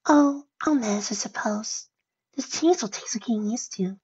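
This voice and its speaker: Meek female bat pony, high soft breathy voice, dejected, unsteady, storybook reading, dull recording. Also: normal speed. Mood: resigned, sad. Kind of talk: fan fiction story reading.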